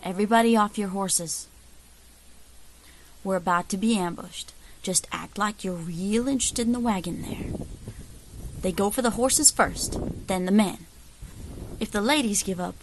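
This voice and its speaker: A woman's voice: medium pitch, slight background noise, lightly acted, rough recording. A woman playing a shrewd, unflappable frontier scout leader in an audio drama, with a wry, persuasive drawl. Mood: urgent, controlled. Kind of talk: dramatic audio fiction acting.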